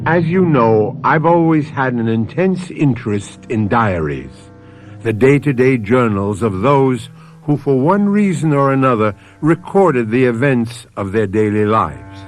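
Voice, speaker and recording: Male radio mystery host: deliberate scripted narration, medium pitch, very lively intonation, light music bed. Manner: deliberate, storytelling.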